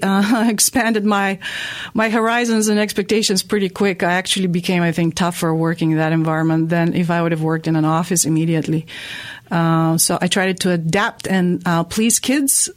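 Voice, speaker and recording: A woman's voice: mid-range voice, no echo, studio quality. A woman from Bulgaria with a Bulgarian-British accent talks as an interview guest in a thoughtful, hesitant way, with many fillers. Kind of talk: casual interview.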